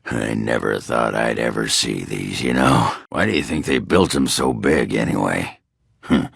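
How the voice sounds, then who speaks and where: Very deep, gravelly dwarf warrior. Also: male voice, unhurried, a bit bright, dry recording, studio quality, full character voice. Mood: wry, grumbling. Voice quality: gravelly, rough, raspy. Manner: blunt, coarse, folksy swearing. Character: gruff, crude, hard-drinking male dwarf warrior. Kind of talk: video game character dialogue.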